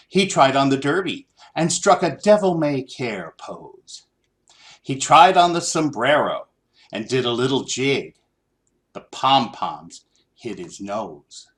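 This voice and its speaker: A man reading a children's story aloud in an expressive, theatrical, deliberate style. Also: medium pitch. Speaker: storybook reader.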